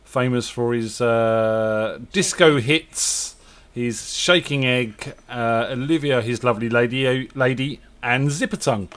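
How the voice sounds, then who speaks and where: A man with a slightly croaky voice, hosting a casual online radio show over a video call, relaxed and jokey, laughing often. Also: mid-range voice, slow. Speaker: host.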